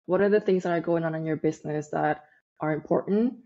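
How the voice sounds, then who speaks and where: A woman's voice: low-pitched, a little fast, mellow. A woman from Peru whose first language is Spanish, a podcast guest chatting in an easygoing way and laughing readily. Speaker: guest, online business manager. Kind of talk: casual interview chat. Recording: dull lo-fi sound.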